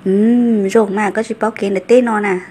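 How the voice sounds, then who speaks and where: A woman's voice: mid-range voice, fairly even intonation, fairly quick, warm tone, a little hiss or hum, natural voice. A woman playing a female character in a folk-tale cartoon about a family. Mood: conversational.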